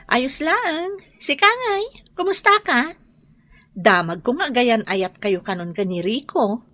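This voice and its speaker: A gossipy young friend in a radio drama, teasing and chatty. Her voice is fairly high and very bright, with an Ilocano accent. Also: sing-song, slow pace, full character voice. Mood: nosy, cheerful.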